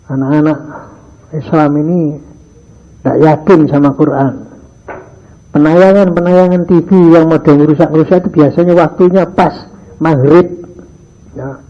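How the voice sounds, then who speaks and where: An older man leads a morning lesson for boarding school students. His voice sits fairly high, and he drifts into phrases and acts out short conversations. The recording is muffled and lo-fi. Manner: mixes in Javanese phrases, acts out dialogues in his stories. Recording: dull lo-fi archive recording.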